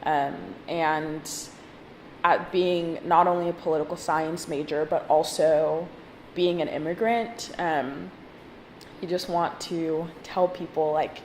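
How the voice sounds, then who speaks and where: A woman in her twenties from Zimbabwe and Texas, talking reflectively and casually in an interview, often pausing mid-phrase. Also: low voice, steady tone, darkish tone. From born in Zimbabwe, grew up in Texas from age four. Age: 20s. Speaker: graduate student interviewed in a documentary. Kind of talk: personal interview, reflective talk. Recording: roomy echo, faint background noise.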